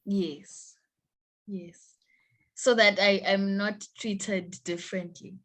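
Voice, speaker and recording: A young woman from Zimbabwe with a Zimbabwean accent, an interview guest who speaks haltingly and repeats her words. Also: mid-range voice, fairly even intonation, a bit bright. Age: young adult. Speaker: guest, university student.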